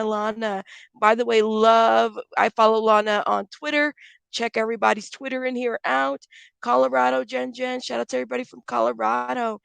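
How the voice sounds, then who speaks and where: A woman hosting a casual livestream, chatting with viewers and giving friendly shout-outs while still congested. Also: on the high side, creaky, clean tone, no room sound. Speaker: livestream host.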